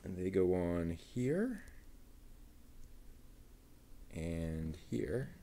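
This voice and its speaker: A man quietly talking himself through assembling a plastic model kit, half-mumbling as he works. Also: sits low, sing-song, slight background noise. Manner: mumbling to himself while working, unhurried. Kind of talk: muttered build commentary.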